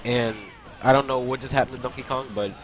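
A man's voice: medium pitch, fairly even intonation, quick pace, slight background noise. A young man commentating a video game tournament stream, chatting loosely and laughing between plays. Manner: casual, laughs often, answers chat between plays. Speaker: esports commentator. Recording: loud music behind. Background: game music.

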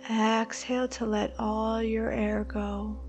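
A woman leading a guided meditation, giving soothing, unhurried instructions with long pauses between phrases. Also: on the high side, level tone, darkish tone. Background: background music.

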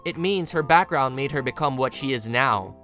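A woman's voice: very deep voice, expressive, normal speed, a bit bright. A woman reading scripted story narration. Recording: music behind the voice. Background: plucked guitar music.

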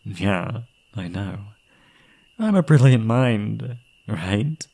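Man acting as young male yandere, ASMR roleplay, obsessive and doting, husky breathy voice, unhurried delivery. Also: fairly low pitch, animated, dark tone, exaggerated voice. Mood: obsessive, confiding. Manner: doting pet names, unhinged chuckling, swinging into whispers. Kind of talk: ASMR roleplay, speaking intimately to the listener.

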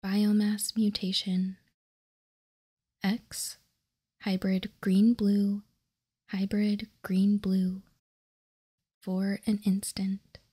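A woman doing whispered ASMR in a soft, breathy, unvoiced whisper, reading out card text calmly and deliberately, close to the microphone. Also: medium pitch, no echo. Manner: slow, deliberate, calm reading. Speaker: ASMR video host. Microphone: close microphone, dry sound.

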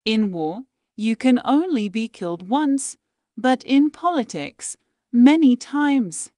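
Woman reading quotes real slow, pitch goes up and down a lot, dry close sound. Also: expressive, mellow, no room sound. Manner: measured, deliberate reading with pauses between phrases. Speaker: quote reader.

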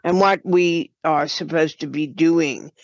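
An older woman speaks slowly and thoughtfully, pausing as she explains. She is a guest in an interview, and her voice comes through dry but somewhat dull and lo-fi. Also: low voice, fairly even intonation, no room sound. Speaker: guest, writer and producer. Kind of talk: reflective interview talk.

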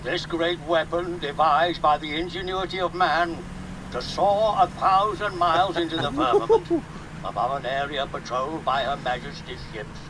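An actor playing a grandiose, reverent 1950s radio announcer, declaiming pompously. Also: male voice, fairly high pitch, expressive, normal speed, lightly acted. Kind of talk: 1950s radio commentary acting. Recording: noisy car ambience around broadcast.

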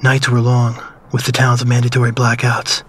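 Game story narrator, played by a man: reflective man looking back on war, mid-pitched, flat and restrained. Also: noisy background, close to an everyday voice. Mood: somber, reflective. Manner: somber, measured, reflective. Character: man somberly recalling his wartime childhood. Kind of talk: scripted first-person story narration.

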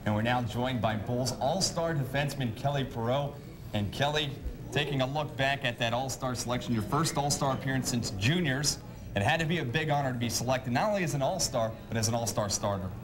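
A young man works as a sports show host, asking long, polished questions in an announcer's delivery. His pitch is average for a man. Clear background noise sits behind him in the dated TV recording. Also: fairly quick. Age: young adult. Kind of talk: broadcast sports interview. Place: sports grill, indoors, at a table. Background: clear ambient noise.

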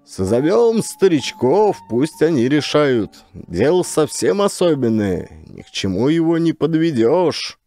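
A man's voice: fairly high pitch, sing-song, unhurried, hardly any pauses, warm tone, faint music behind, pitched above the performer's own voice. A man voicing a practical village clerk with a folksy, reasoning manner in an audio story. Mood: calmly reasoning.

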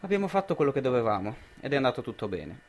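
Young man playing a fast-talking, officious state expert. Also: medium pitch, big pitch swings, faint music behind, natural voice. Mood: formal, businesslike. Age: young adult. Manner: clipped, formal, authoritative. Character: brusque, officious male expert sent by an authoritarian regime. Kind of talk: amateur radio drama acting.

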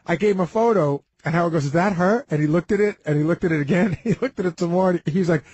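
A man with a husky, slightly high voice tells anecdotes on a casual talk panel. He moves quickly and speaks bluntly, swearing freely. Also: darkish tone, no room sound. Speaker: radio show staffer on a talk panel.

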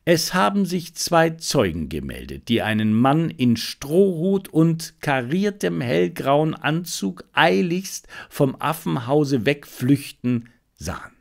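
Animated male audiobook narrator. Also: fairly high pitch, takes it slowly, gravelly. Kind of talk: scripted narration of a detective story.

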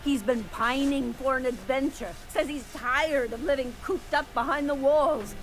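A woman's voice: high-pitched, measured pace, a little hiss or hum. A woman playing a frantic, overprotective frontier mother in a video game, folksy and melodramatic. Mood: anxious. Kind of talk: video game character dialogue.

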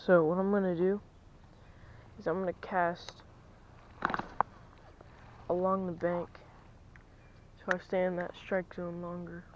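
A young man, vlogging as he fishes from a lake bank, chatty and excitable, dropping to a near-whisper at times. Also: sits high, measured pace, pauses often, dull audio, background noise. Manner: chatty, excitable, drops to near-whisper while stalking fish. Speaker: fishing vlogger. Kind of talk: casual vlog narration. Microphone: chest-mounted action camera.

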